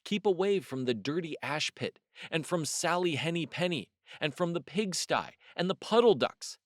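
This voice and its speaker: A man's voice: fairly high pitch, lively intonation, normal speed, dry recording, studio quality, voice pushed up, close to an everyday voice. A man voicing a prim, strict mother cat in a children's storybook, fussy and proper as she instructs. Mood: bossy warning.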